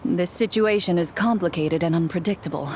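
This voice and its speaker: A woman's voice: fairly low pitch, brisk, darkish tone, slight background noise, close to an everyday voice. Actress as a confident, breezy female crew leader. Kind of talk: video game voice acting.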